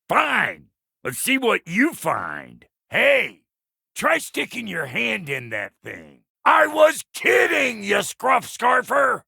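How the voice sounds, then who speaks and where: A crusty video game dwarf blacksmith with a heavily gravelled, sharp-edged voice. He is cantankerous and cocky, slinging folksy insults, and his pitch swings wildly from low grumble to high bark. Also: no room sound, clean studio sound, exaggerated voice. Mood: brusque, wry, then scornful, insulting. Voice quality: gravelly, raspy. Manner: folksy rustic slang, colorful insults, swaggering. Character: gruff, foul-mouthed, cantankerous dwarf blacksmith with folksy swagger. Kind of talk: video game character acting.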